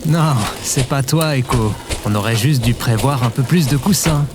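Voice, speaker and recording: A man's voice: mid-range voice, normal speed, over loud music, lightly acted. A man playing a casual, sarcastic adventurer who talks in a colloquial, grumbling way in an audio drama. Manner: colloquial, wry, hesitant.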